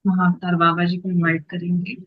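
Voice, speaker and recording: Meditation leader: level, little pitch movement, short phrases with long pauses, dry but dull lo-fi capture. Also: completely flat delivery, dry recording. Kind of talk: guided meditation instruction.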